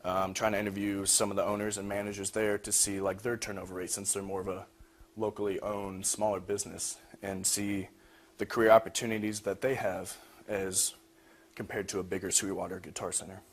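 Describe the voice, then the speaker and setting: Low-voiced young man presenting. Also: flat delivery, fairly quick. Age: young adult. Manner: frequent throat clearing. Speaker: student presenter. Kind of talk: informal project presentation. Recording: noisy room recording.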